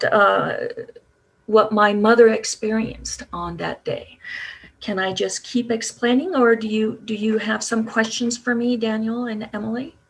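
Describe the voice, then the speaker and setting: A woman guest speaker on a video call telling a personal story, hesitant with many pauses and restarts. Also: medium pitch, gravelly, breathy edge, rough recording. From Japan.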